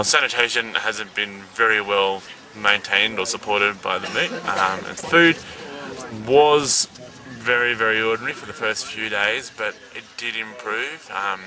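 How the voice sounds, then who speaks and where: A man being interviewed outdoors at a camp, speaking in a measured way with fillers. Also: lowish voice. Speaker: interviewee at a scout event. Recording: distant mic with a crowd behind.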